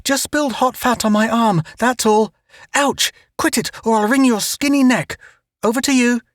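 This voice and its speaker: A woman's voice: on the high side, moderate pace, no echo, studio quality, voice pushed up, lightly acted. A man voicing a bossy, scheming young woman explorer who is sweetly polite one moment and flustered the next. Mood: flustered, yelling. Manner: gushing, grandly polite, then flustered.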